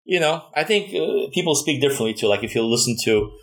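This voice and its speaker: A man's voice: medium pitch, expressive, fast talker, low-quality recording. A man from Russia with a slight Russian accent, speaking as a guest in a casual conversation, thoughtful and hesitant with many fillers and restarts.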